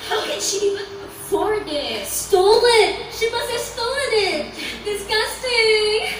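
Very shrill, vain young stage bride-hopeful actress. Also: female voice, animated, slow pace, heavy background noise, heavily acted. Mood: jealous spite. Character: vain, gushing, catty young women vying for the king. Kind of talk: over-the-top comic stage-play acting. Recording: distant echoey stage sound under loud music.

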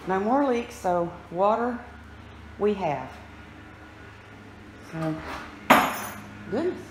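A woman's voice: medium pitch, animated, extremely slow, darkish tone. A woman giving a casual walk-through as a home renovation vlogger, filming handheld inside an unfinished house. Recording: echoey bare rooms, background noise.

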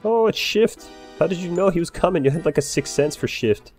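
Excitable swearing man with a gravelly edge. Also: on the high side, a little fast, soft background music. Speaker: role-play player, bar owner character. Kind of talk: improvised in-character game chat.

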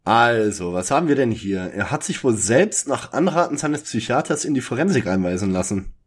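Mann spielt lebhaften, pedantischen Jungdetektiv. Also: male voice, mid-range voice, animated, normal speed, slightly rough. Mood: matter-of-fact. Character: bookish, fussy young male detective. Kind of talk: parody detective audio drama.

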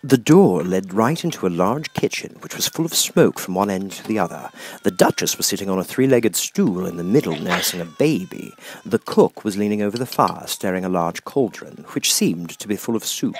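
A man reading a children's classic aloud as an audiobook narrator, in expressive storytelling style. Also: low voice, normal speed, husky.

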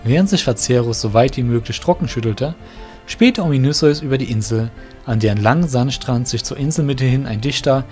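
A man narrating a fantasy audio drama in an even, storytelling manner. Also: mid-range voice, brisk, faint music behind.

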